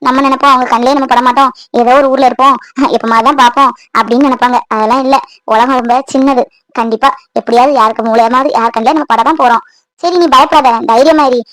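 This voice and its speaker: A woman's voice: sits high, steady tone, fast, a bit bright, no room sound, rough recording. A woman voicing a firm, motherly older-sister figure who lectures and reassures a younger woman. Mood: reassuring. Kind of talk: Tamil domestic audio drama.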